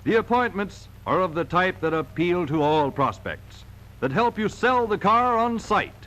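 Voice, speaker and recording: A male narrator from a 1940s promotional film, pitched fairly high and moving in big, lively swings. He delivers polished sales copy with firm emphasis on key words. The sound is that of a vintage film soundtrack. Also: measured pace, poor audio.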